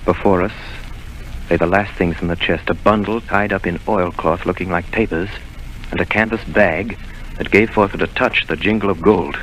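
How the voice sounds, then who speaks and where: A man's voice: deep voice, fairly even intonation, a bit bright, a little hiss or hum, rough recording. An actor narrating as a grown man recalling his boyhood in a 1930s radio drama. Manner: measured, grave.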